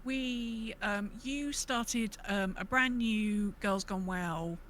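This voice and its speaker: A woman's voice: mid-range voice, slow pace, a bit bright, slight background noise. A woman co-hosting a casual gaming podcast, chatting in an informal, upbeat way. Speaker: podcast co-host.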